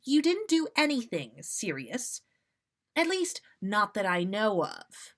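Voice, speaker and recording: A woman's voice: medium pitch, wildly swooping pitch, takes it slowly, fairly bright tone, full character voice. A woman voicing a stern, no-nonsense storybook mother with an exasperated, firm, matter-of-fact manner. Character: stern, no-nonsense, scolding mother of troublesome twins.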